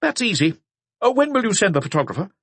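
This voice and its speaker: A man voicing a brisk, obliging forensic doctor in an audiobook. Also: sits high, big pitch swings, fairly bright tone, husky, no echo, voice pushed up, exaggerated voice. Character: brisk, helpful male forensic pathologist on telephone.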